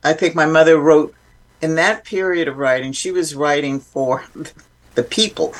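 A woman's voice: low voice, fairly even intonation, unhurried. A woman speaking as an interview guest, explaining informally and with emphasis in a reflective, conversational way.

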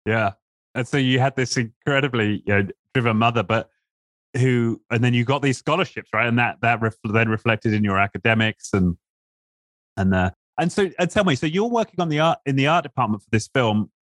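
A male podcast host asks questions hesitantly, stumbling through fillers and restarts. His voice sits at a middle pitch and sounds dry and close, with little room sound. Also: expressive, brisk, fairly bright tone, dry recording. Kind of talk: interview hosting.

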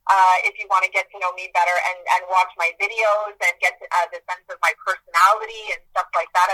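Earnest lady talking up her books and healing work, bright sharp voice, keeps repeating herself. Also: medium pitch, low-quality recording. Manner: earnest, emphatic, repeats key phrases. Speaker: guest, author and healer. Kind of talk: podcast interview, earnest self-promotion.

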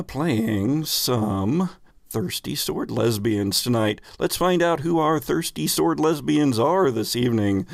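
A man's voice: mid-range voice, gravelly, no room sound, studio quality. A man hosting and narrating a tabletop roleplaying game as game master, with casual improvised phrasing and dramatic storytelling emphasis. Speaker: podcast host and game master.

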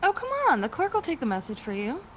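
A woman acting a high-voiced concerned friend, radio drama. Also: sing-song, quick pace, noisy background. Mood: reassuring, practical. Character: Alice, woman narrator and gently sceptical, concerned friend. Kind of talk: classic radio suspense play acting.

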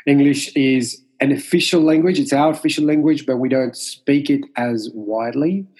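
A man in his forties from Mauritius, whose first language is Creole, giving thoughtful interview answers with many pauses and fillers. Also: medium pitch, a little mellow, slightly rough. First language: French Creole. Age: 40s. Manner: measured, with many fillers and restarts. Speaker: martial arts instructor, guest.

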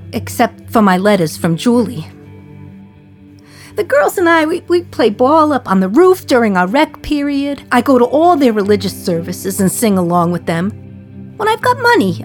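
A woman's voice: medium pitch, lightly acted. Actress playing a passionate working-class woman prisoner, music behind. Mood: fond, chatty. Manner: conversational, colloquial, emphatic storytelling. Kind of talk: dramatic radio play monologue.

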